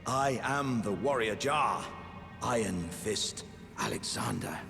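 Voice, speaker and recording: A man's voice: on the low side, big pitch swings, slow pace, vocal fry, exaggerated voice. A man with a British accent voicing a hearty, pompous, good-natured living warrior jar in a theatrical fantasy game style. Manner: grandiose, jovial, old-fashioned gentlemanly phrasing. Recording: music behind the voice.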